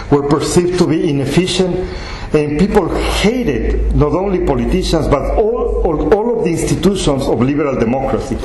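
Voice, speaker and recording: A man with a fairly high voice lectures in a measured, explanatory way, his phrasing slightly non-native. He is recorded in an echoey lecture hall. Faint room noise sits behind him. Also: a little mellow. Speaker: guest lecturer. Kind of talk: lecture and audience Q&A.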